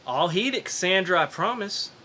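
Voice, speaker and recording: Man acting a bright-voiced, grumbling working stiff. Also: high voice, animated, normal speed, a little hiss or hum. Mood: grudging, incredulous. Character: gruff, reluctant, self-deprecating blue-collar working man. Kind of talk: amateur dramatic comic book reading.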